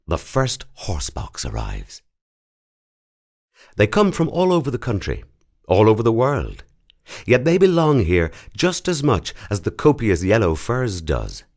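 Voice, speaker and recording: A man narrates a piece of literary fiction in a low-pitched voice. His intonation is highly animated, rising and dropping widely across phrases. The delivery is measured and dramatic, like a practised storyteller reading from a script in a studio. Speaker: actor narrating a short story. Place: audio recording studio.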